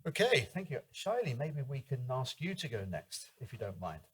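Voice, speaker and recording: A man's voice: mid-range voice, big pitch swings, normal speed, a bit bright, slightly rough. A man moderating a panel, polite and inviting as he introduces speakers.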